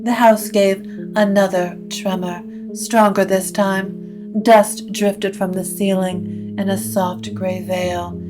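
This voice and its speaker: Measured, slow-paced woman narrating supernatural fiction. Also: medium pitch, flat delivery, over music. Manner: measured, literary storytelling.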